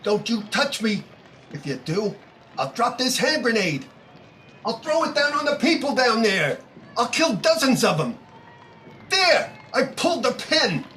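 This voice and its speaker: An actor as a desperate, high-voiced young killer. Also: male voice, sing-song, measured pace, sharp tone, a little hiss or hum, full character voice. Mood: desperate, threatening. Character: desperate, panicked young male killer with a grenade. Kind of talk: 1930s radio drama acting.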